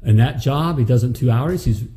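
A man's voice: medium pitch, a little fast, warm tone. A middle-aged man hosting a plumbing business show from a studio, explaining by example and checking in often with "okay?" and "all right?". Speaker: host teaching plumbing business. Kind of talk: explanatory business coaching talk. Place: studio set with a city-window backdrop. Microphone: large studio mic on a boom arm.

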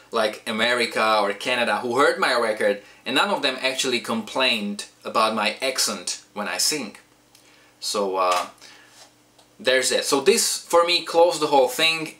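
A young man with a Slovak accent, a singer and English teacher, giving casual advice to camera from a small room at home, talking with his hands and rambling. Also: medium pitch. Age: young adult. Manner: animated, gestures with hands, rambling asides. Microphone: webcam or camera at desk distance.

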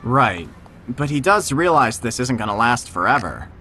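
A man's voice: medium pitch, very animated, moderate pace, sharp tone, a little hiss or hum. A young man playing a wry, dry-humored ordinary guy on a date in an audio drama.

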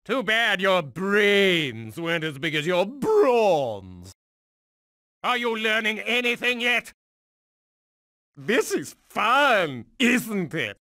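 An actor voicing a megalomaniac mutant genius supervillain in a video game, condescending, mocking and theatrical like a cruel schoolmaster. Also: male voice, sits high, big pitch swings, takes it slowly, pushed and bright, no echo, studio quality, exaggerated voice. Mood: gloating. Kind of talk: theatrical cartoonish game voice acting.

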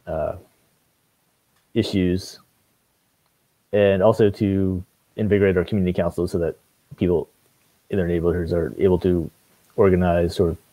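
Low-voiced young man on a video call. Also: no echo, rough recording. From Maine. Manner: relaxed, hesitant with frequent fillers. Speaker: city council candidate. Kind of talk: casual video call interview. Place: home room with bookshelves. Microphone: video call webcam.

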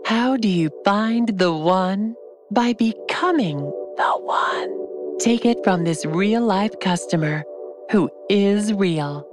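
An actress playing an earnest, mystical announcer in a parody self-help book advertisement. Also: female voice, mid-range voice, expressive, slow. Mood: dreamy, earnest. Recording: loud music under the voice.

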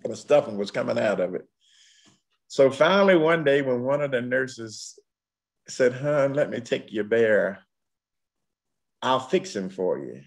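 A man's voice: mid-range voice, lively intonation, unhurried, gravelly, long pauses. A man in his sixties with a Southern US accent, a pastor preaching a sermon in rhythmic, repeated refrains. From Tennessee, United States. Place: room at home. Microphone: computer mic on video call.

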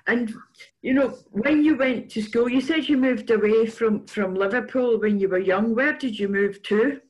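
An older woman hosting a casual video-call interview, with a halting delivery that repeats words as she goes. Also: fairly high pitch, low-quality recording. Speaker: interview host. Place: indoors in a room at home, on a video call. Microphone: computer microphone on a video call.

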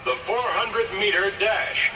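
A man's voice: high voice, slow pace, very noisy. A man playing a formal athletics announcer in a cartoon read-along story. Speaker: event announcer. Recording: distant, echoey old record playback with music.

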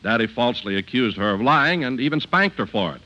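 A man announcing on 1950s radio in a polished, scripted sales-pitch style. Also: lively intonation, a little fast, bright, forceful tone, lo-fi sound.

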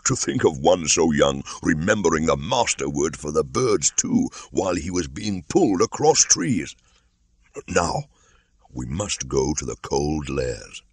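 Man voicing an old bear, earnest, hesitant, very deep, gravelly. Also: very animated, measured pace, dry recording, studio quality, exaggerated voice. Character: fond old male bear teacher, proud and anxious. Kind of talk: audiobook character voice.

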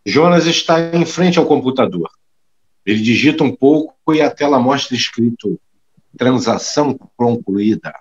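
A man's voice: mid-range voice, animated, moderate pace, highs cut off. A man narrating a scripted audio drama, reading out the scene action. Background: clear music.

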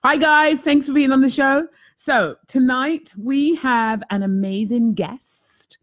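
A woman hosting a talk show, chatting in an animated, self-mocking way from a home office, speaking into a desk microphone. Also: high-pitched, slow. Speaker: host. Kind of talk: casual chat. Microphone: desk mic on boom arm.

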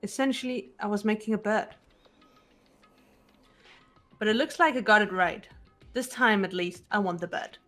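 A woman voicing a composed, analytical teenage girl in a measured, formal way for a fan-voiced visual novel. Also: medium pitch, fairly bright tone, soft background music, close to an everyday voice. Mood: calm, confident.

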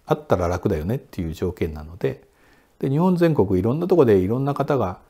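A man in his sixties, a sake brewery director, answering interview questions in a relaxed, explanatory way with many fillers, seated in a quiet indoor room. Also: on the low side, fast talker. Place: indoor Japanese room with sliding doors.

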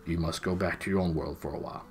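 A man reading aloud, voicing a majestic male lion who speaks slowly and gently. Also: sits low, fairly even intonation, breathy edge. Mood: gentle. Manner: slow, hushed, solemn.

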